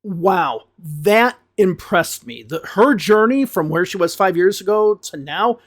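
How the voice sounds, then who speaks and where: An American man from the Chicago area, an English teacher giving a relaxed spoken review full of hedges. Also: high-pitched, unhurried. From Chicago area, USA. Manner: relaxed, talks things through with frequent hedges.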